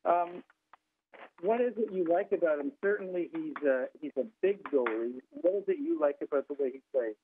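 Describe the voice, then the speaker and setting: A man's voice: on the high side, moderate pace, slight background noise. A man, a sports reporter, asking questions on a press conference call by telephone. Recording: narrow, muffled phone line.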